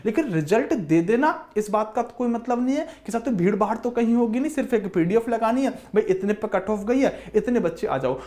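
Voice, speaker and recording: A man's voice: very high voice, a little mellow. A man speaking as an exam coaching teacher, explaining informally and emphatically, with repetition and frequent direct address to students.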